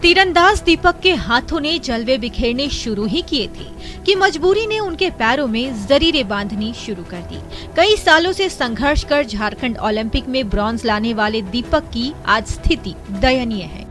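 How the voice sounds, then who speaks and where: Female news narrator, high pitch, formal and measured, over a strong music bed. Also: lively intonation, a bit bright.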